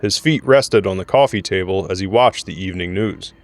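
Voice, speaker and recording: A man's voice: sits low, brisk. A man narrating a scripted audio drama in the role of storyteller.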